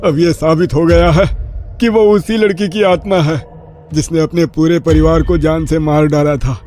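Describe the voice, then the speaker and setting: Man playing frantic parent, high raised voice. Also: steady tone, moderate pace, a little mellow, slight background noise, lightly acted. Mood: worried, determined. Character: anxious middle-aged parent, frantic over missing only daughter. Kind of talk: Hindi horror cartoon storytelling.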